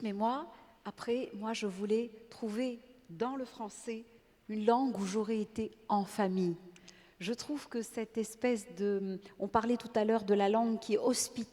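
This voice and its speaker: A woman's voice: medium pitch, dark tone. A woman from Tunisia, moderating a panel in a reflective, hesitant, questioning way. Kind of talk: panel discussion. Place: large theatre on stage. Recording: long theatre reverb.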